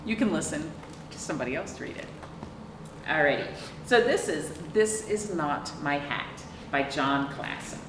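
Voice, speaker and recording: Animated middle-aged woman at storytime. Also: deep voice. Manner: expressive, drawn-out emphasis and questions to young listeners. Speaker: children's librarian hosting storytime. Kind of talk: picture-book read-aloud with chatty asides to children. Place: small indoor room, seated on a couch. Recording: roomy, distant mic with clear background noise.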